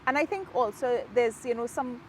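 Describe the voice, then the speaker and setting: A woman from Trinidad and Tobago with a Trinidadian accent, an author talking about her book in an outdoor interview in a park, reflective and quick to laugh. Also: a little high, slight background noise. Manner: warm, reflective, laughs easily. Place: outdoors in a park, rain falling.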